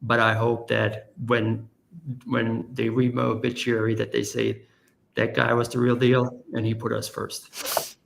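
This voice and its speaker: A man's voice: medium pitch, completely flat delivery, gravelly, a little hiss or hum, rough recording. A German-born man in his forties with a light German accent, chatting earnestly as a podcast guest. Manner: earnest, rambling, quick asides. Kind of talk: casual interview chat.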